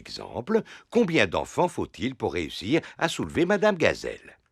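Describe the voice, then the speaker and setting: A man's voice: on the low side, huge pitch swings, normal speed, breathy edge, full character voice. An actor playing a cheerful father pig who loves explaining science in a dubbed children's cartoon. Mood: keen, explanatory. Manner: explanatory, jovial. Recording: music behind the voice.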